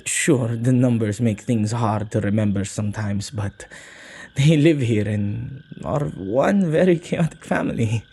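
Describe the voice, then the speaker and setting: A man acting a soft-hearted male witch who keeps ghosts, in an ASMR roleplay, with a halting, gently apologetic manner and slightly archaic wording. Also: mid-range voice, vocal fry, breathy edge. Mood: fond, bashful.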